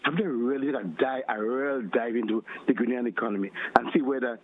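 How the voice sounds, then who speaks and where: A man's voice: mid-range voice, a little fast, slight background noise. A man calling in to a radio show, arguing with rising, emphatic questions. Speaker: caller. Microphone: phone line. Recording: tinny phone line.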